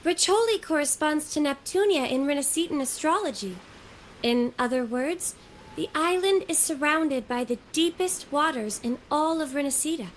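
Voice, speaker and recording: An actress playing a gentle, earnest young scholarly devotee in a video game, speaking in a measured, explanatory way. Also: female voice, high voice, animated, slight background noise. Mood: calm, studious. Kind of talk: video game character acting.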